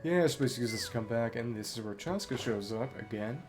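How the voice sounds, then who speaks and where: A woman's voice: very deep voice, lively intonation, fairly quick, darkish tone. A woman streaming a video game, giving casual commentary in short remarks to herself while game music plays. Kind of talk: casual gameplay commentary mixed with reading dialogue aloud.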